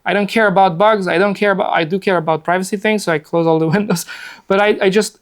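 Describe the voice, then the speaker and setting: A man's voice: high voice, steady tone, fast talker. A man in his forties from Afghanistan leads a workshop in a meeting room, speaking in a chatty, upbeat way. He fills gaps with easy fillers and sits at a laptop, picked up by a camera across the room. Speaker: workshop presenter. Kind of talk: casual live presentation.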